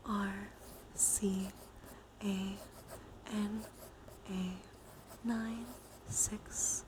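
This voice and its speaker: A woman hosting a live ASMR stream, speaking softly and gently to viewers and often slipping into whispers. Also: mid-range voice, background noise.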